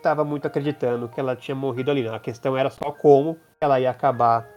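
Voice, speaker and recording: A man's voice: mid-range voice, fairly even intonation, fast, darkish tone, highs cut off, soft background music. A man, a podcast host talking casually and thinking aloud with many restarts. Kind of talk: casual chat.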